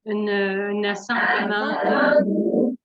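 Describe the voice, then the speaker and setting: A middle-aged woman from Northern Ontario with a Franco-Ontarian French accent, a guest telling her life story in a halting, candid way with many fillers and restarts. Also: medium pitch, completely flat delivery, dry recording. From Northern Ontario, Canada. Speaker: guest, naturopath. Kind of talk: hesitant conversational storytelling. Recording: dull muffled lo-fi sound.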